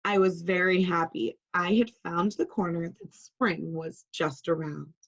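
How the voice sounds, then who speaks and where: A woman voicing a friendly frog who calmly and patiently tells a story of his childhood. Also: fairly low pitch, a little slow, muffled recording. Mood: contented.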